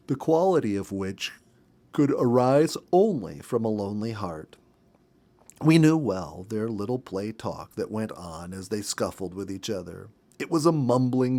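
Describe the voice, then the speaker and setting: Animated middle-aged man reading a story aloud. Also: mid-range voice. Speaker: storybook reader. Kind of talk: expressive read-aloud narration of a nature memoir.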